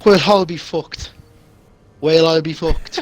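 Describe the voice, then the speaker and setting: A man's voice: on the high side, fairly even intonation, a little slow. A man on voice chat bantering with the host, teasing and swearing for laughs. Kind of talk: casual banter.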